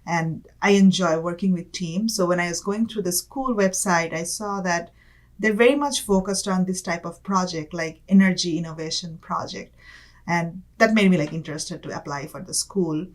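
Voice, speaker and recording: A young woman from Bangladesh, a guest in an interview, talking casually with many fillers and soft laughs. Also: on the low side, normal speed. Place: campus studio.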